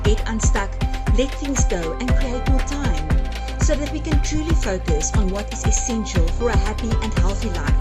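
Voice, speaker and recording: A woman in her thirties whose first language is Afrikaans, chatting her way through a home decluttering vlog and gushing over keepsakes. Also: mid-range voice. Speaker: home organizing vlogger.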